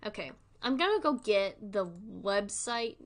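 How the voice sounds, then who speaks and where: A woman's voice: mid-range voice, animated, a little slow. A woman hosting a livestream with casual, informal commentary, rambling and thinking aloud as she goes. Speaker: livestream host.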